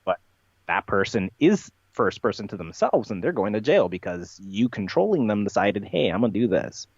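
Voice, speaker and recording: A man talking as an interview guest, giving animated, emphatic, rambling explanations. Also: lowish voice, normal speed, slightly rough, no echo. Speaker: podcast guest being interviewed. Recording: dull, lo-fi, dry sound.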